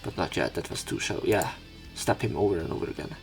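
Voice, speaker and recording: Deep-voiced, irreverent male let's player. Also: fast talker, gravelly, faint music behind. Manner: irreverent, crude asides. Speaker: let's play commentator. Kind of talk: reading game narration aloud with casual commentary.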